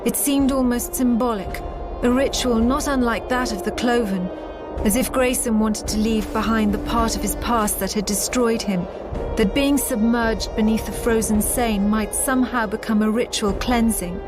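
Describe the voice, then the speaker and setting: An actress playing a composed woman officer who recounts a war in a measured, formal game narration. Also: fairly high pitch, steady tone, lightly acted. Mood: solemn, measured. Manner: measured, solemn, formal storytelling. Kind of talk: scripted game cutscene narration. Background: music.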